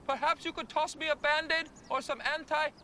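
An actor playing a badly injured man who calmly and politely asks for help after falling down a cliff. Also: very high-pitched, brisk, very noisy. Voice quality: politely calm, matter-of-fact.

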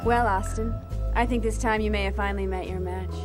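An actress playing a sultry, confident woman with a smooth, teasing delivery. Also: medium pitch, steady tone, a little fast, over music, natural voice.

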